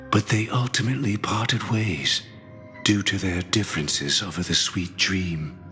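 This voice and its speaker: A world-weary, courteous schemer in a video game. His voice is low and unhurried, formal and reflective, trailing long reverb as music plays behind him. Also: pushed and bright, heavily acted. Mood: somber, wistful. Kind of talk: scripted game voice acting, dramatic dialogue.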